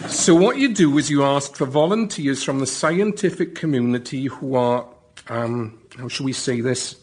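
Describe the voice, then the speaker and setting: A man reading out a prepared comic pitch as a member of the public, deliberately and with pauses for effect. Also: a little mellow. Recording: live show, faint audience noise.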